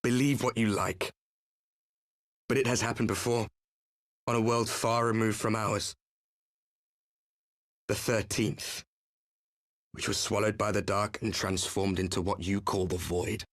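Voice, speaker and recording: A man playing a grim, resolute fallen hero in a video game cutscene, speaking in a measured, solemn, theatrical way. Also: fairly low pitch, steady tone, a bit bright, no room sound, studio quality. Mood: solemn, grave. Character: grim male warrior, fallen hero from a doomed world, resolute.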